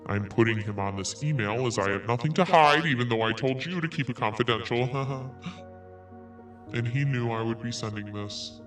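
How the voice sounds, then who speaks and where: A man's voice: mid-range voice, normal speed, a bit bright, distant mic. A man podcast host reads an email aloud and mocks it with dry, snarky comments between lines. He sits a little back from the mic, so he sounds set apart from it. Faint music plays under his voice. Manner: sarcastic, cutting in with snarky asides.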